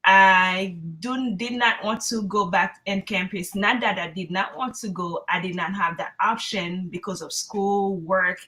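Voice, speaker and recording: Woman, 20s, from Haiti; interview guest, candid and encouraging, bright tone. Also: mid-range voice, steady tone, measured pace, hardly any pauses. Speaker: online student guest. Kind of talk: interview answers.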